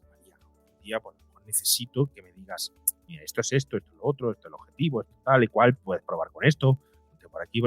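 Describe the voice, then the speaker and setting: A man's voice: mid-range voice, no echo. A middle-aged man speaking as an interviewed psychologist, explaining earnestly and emphatically in a conversational way, often acting out imagined dialogue.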